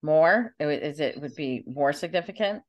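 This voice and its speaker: Host, woman, low pitch, relaxed chatty interviewer. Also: moderate pace, dull audio. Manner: chatty, brief reactions. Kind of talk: casual interview hosting.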